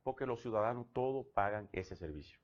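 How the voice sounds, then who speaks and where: A man's voice: on the low side, lively intonation, fairly quick, muffled recording. A Dominican man with a Dominican Spanish accent, a guest on a TV interview who tells personal anecdotes with frequent restarts. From Dominican Republic. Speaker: guest, government labor official. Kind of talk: conversational TV interview.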